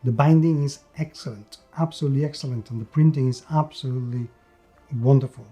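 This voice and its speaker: A man's voice: medium pitch, steady tone, fairly quick, dark tone, soft background music. A man giving an unscripted, enthusiastic video review of a tabletop game, repeating words for emphasis.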